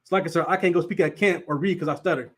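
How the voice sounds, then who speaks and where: A man's voice: on the high side, fast. A man who stutters and repeats words, casually teaching a Bible class and laughing often. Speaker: teacher leading a Sabbath class.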